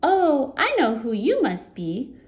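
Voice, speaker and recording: Woman putting on a squeaky cheeky goblin. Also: huge pitch swings, a little mellow, exaggerated voice. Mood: teasing. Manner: high, gleeful, quick. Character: cheeky little male goblin, teasing.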